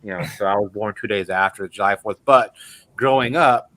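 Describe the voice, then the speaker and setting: A man's voice: fairly low pitch, brisk, bright, forceful tone, low-quality recording. A man in his forties hosting a casual livestream chat, telling rambling, self-deprecating stories over a call mic. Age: 40s. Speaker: host.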